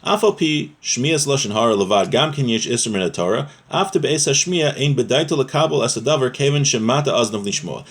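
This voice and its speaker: A man's voice: mid-range voice, lively intonation, fairly quick, hardly any pauses. A man giving an informal religious lesson, reading from a text and explaining it plainly as a teacher. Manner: explanatory, restating points in plain words.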